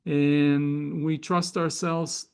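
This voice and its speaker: A man with a Hebrew accent speaks slowly and reflectively, pausing often to find his words as he hosts a spiritual gathering. His voice sits a little high for a man and carries a gravelly, creaky edge. He takes his time with every phrase. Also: little pitch movement, a little mellow. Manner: thoughtful, with frequent hesitations and fillers.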